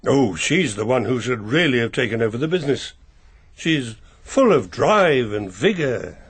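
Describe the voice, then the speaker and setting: An English actor in a British radio drama playing a courteous older family solicitor with a formal, politely understated manner. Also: male voice, medium pitch, sing-song, normal speed, slightly rough. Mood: confiding. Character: courteous, discreet older male family solicitor, dryly tactful.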